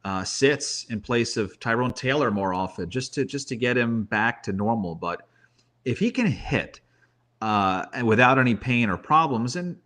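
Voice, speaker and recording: A man's voice: mid-range voice, animated, normal speed, a bit bright. A man giving sports commentary in conversation, making his points emphatically and slipping into storytelling. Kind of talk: animated baseball talk.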